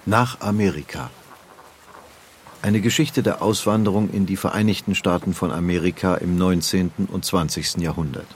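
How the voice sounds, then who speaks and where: A man's voice: sits low, moderate pace, a little hiss or hum. A man narrating a documentary in a formal, announcing manner. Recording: music underneath.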